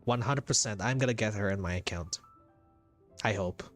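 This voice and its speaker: A man's voice: fairly low pitch, moderate pace, a bit bright. A man giving casual live commentary on a video game playthrough, thinking aloud hesitantly and repeating himself. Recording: game music behind the voice. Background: video game music.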